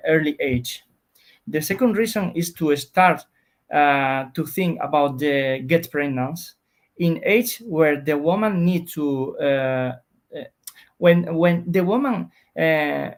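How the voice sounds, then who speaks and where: A male researcher with a Spanish accent speaks in a slightly high voice. He explains his work haltingly, often searching for words in his second language. The delivery is that of an interview guest. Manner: halting, searching for words in non-native English.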